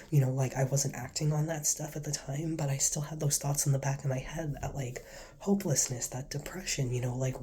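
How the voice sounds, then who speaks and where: A man with a cold and a stuffy nose, telling his faith testimony in a casual, earnest, rambling way, now and then dropping to a soft whisper. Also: medium pitch, slight background noise. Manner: earnest, rambling, heavy with fillers. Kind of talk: casual spoken testimony to camera.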